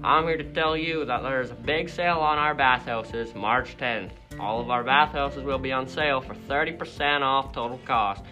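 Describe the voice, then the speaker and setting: A man's voice: medium pitch, moderate pace, music in the background, close to an everyday voice, rough recording. A man playing a friendly store employee reading a scripted sales advert. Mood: upbeat, selling. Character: friendly bathhouse employee pitching a sale.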